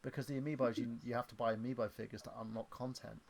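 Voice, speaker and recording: A man in his thirties hosting a livestream, chatting casually in a halting way with lots of fillers and restarts. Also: medium pitch, level tone, gravelly. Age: 30s. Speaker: stream host.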